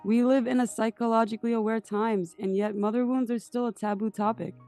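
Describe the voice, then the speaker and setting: A woman's voice: on the high side, fairly even intonation, fairly quick, warm tone, faint music behind. A woman hosting an interview podcast, reading introductions and asking questions. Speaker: podcast host.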